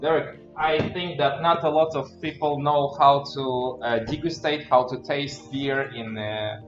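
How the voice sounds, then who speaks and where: A man from Kazakhstan co-hosts a relaxed beer tasting livestream as a brewer. His voice sits at a medium male pitch and his manner is playful. The sound is dull and lo-fi, with music clearly playing behind him. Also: a little slow. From Kazakhstan, from Astana. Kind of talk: casual livestream tasting chat. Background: clear background music.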